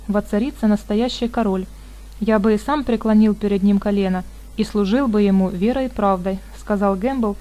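A woman narrates a fantasy novel in an even, measured voice. Her tone is mellow and warm, and she shades the characters' dialogue only lightly. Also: medium pitch, dull audio. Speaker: audiobook narrator. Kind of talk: reading a fantasy novel aloud.

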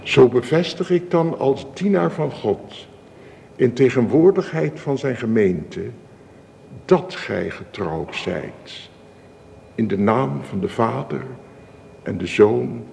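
In a long, church-like reverb with some hiss, a solemn male minister conducting a wedding in a radio play. He speaks very slowly and ceremoniously, in a mellow voice with a gravelly creak. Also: mid-range voice, expressive. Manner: formal, measured, ceremonious.

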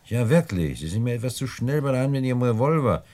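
A man's voice: medium pitch, big pitch swings, quick pace, mellow, close to an everyday voice. An actor playing a suave, patronizing older crime boss in a 1960s German radio play, speaking with avuncular politeness and repeating his phrases. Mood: mild reproach.

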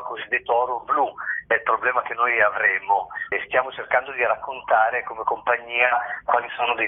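A man's voice: medium pitch, slightly rough. A man explaining things as a guest in a radio interview. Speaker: theatre company playwright, interview guest. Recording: muffled phone line, radio interview.

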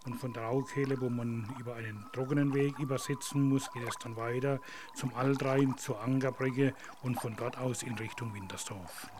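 A man describing local waters as a guide, calm and explanatory. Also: medium pitch, normal speed. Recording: outdoor noise.

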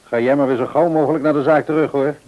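A man playing a kindly, practical older father in a radio play, husky and reassuring. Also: fairly high pitch, fairly even intonation, fast, a little mellow, lightly acted. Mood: reassuring, reasonable. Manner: avuncular, musing, reassuring.

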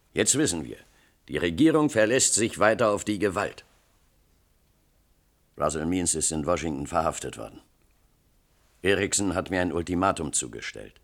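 An actor playing a resolute, disillusioned protest leader in a radio play, with firm, terse, declamatory speech. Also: male voice, low voice, a bit bright, full character voice. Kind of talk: German radio play acting.

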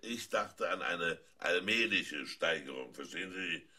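An actor playing an earnest, unhurried sound-effects artist in a radio play, gravelly and deliberate. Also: male voice, deep voice, lively intonation, sharp tone, full character voice. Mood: earnest, placid. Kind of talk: comic radio drama acting.

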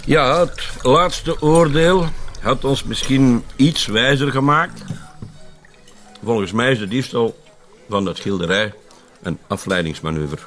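A man's voice: medium pitch, animated, slow, a bit bright, faint music behind. A man with a Flemish accent acting an affable, conspiratorial police colonel in a radio drama, often chuckling. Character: genial senior police colonel, confiding.

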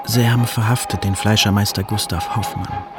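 An actor playing an anguished butcher's son who fears his father, in a radio drama, speaking haltingly with inverted word order and a gravelly, husky voice. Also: on the low side, fairly even intonation, loud music behind the voice, lightly acted. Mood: subdued recollection. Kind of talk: radio drama, confessional narration.

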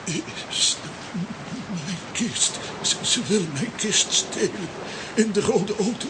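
An actor playing an injured, delirious sailor in a children's audio drama, frightened, halting and mumbling. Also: medium pitch, expressive. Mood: delirious, afraid. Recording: noisy audio with ambient sound.

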